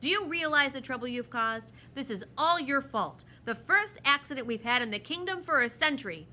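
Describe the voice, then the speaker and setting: A woman's voice: on the high side, lively intonation, unhurried, pushed and bright, exaggerated voice. A woman voicing a stern, pompous male official who snaps and scolds in a firm, emphatic storybook manner. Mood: exasperated.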